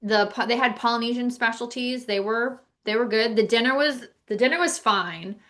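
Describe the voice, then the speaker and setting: Bright-voiced woman chatting casually on a podcast. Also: on the high side, normal speed. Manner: chatty, informal, opinionated reviewing. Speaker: podcast co-host. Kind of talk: casual podcast chat about travel.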